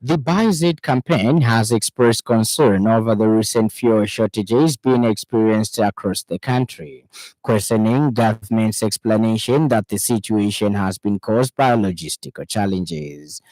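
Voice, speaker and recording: Male radio newsreader, Zambian accent, lower register, formal scripted delivery, dry studio sound. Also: a little slow, darkish tone, no echo, rough recording. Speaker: radio news reader. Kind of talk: scripted news bulletin reading.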